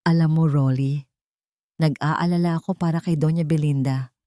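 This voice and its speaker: A woman voicing a wealthy male employer who speaks in a casual, measured, probing way and is quietly suspicious. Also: low voice, steady tone, no room sound, clean studio sound, lightly acted. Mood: calculated calm.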